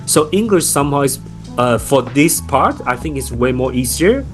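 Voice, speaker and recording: A man's voice: mid-range voice, animated, normal speed, faint music behind. A man from Taiwan with a Taiwanese accent, a startup founder speaking as a podcast guest, thoughtful with many fillers and restarts. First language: Chinese. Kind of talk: podcast interview.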